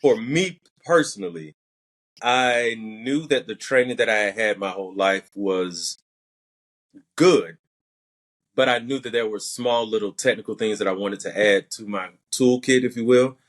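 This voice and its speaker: A man's voice: lowish voice, a little slow. A young man with a light Southern accent, an actor answering interview questions in a thoughtful, methodical way. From Alabama. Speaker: actor and podcast guest.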